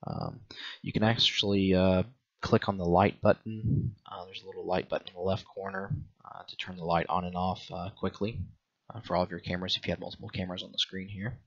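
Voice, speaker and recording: A middle-aged man giving a casual product walkthrough as a reviewer, explaining step by step in a halting, hesitant way. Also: low-pitched, level tone, lo-fi sound.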